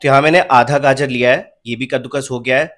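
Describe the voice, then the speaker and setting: A young man calmly narrating a home cooking recipe step by step as the channel's host. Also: mid-range voice, fast talker. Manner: calm, step-by-step instructional.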